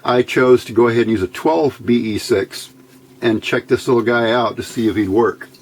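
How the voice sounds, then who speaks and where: Gravelly man thinking aloud at a workbench. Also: mid-range voice, moderate pace. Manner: informal, thinking aloud in short phrases. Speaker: electronics hobbyist presenter. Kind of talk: casual hands-on demonstration. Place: indoors at a workbench. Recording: noisy home-video recording.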